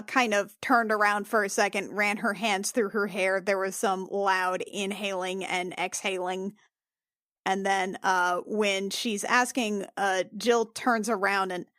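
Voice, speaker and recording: A woman playing a tabletop game, talking in halting, restarting phrases, half in character and half as herself. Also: mid-range voice, fairly even intonation, a bit bright, no room sound, clean studio sound. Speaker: player.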